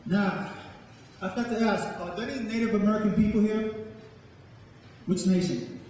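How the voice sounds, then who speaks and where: A man speaking as a poet and storyteller to a live audience, with a deliberate, dramatic delivery. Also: high voice, darkish tone. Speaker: spoken word poet and storyteller. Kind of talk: dramatic poetry reading and talk to a live audience. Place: large echoing room with a live audience. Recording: echoey hall, distant mic, faint noise.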